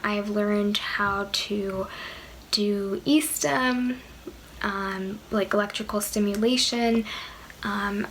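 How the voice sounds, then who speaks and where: Soft-spoken young woman with vocal fry. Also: medium pitch, a little hiss or hum, low-quality recording. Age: 20s. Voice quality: quiet voice. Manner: soft-spoken, hesitant with many fillers. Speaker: vlogger. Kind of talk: casual talk to camera.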